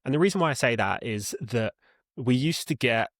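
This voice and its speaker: A man talking as a podcast guest, explaining his work conversationally and haltingly, often repeating words and correcting himself. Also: mid-range voice, lively intonation, fairly bright tone, no room sound, clean studio sound. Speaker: podcast guest, customer support leader.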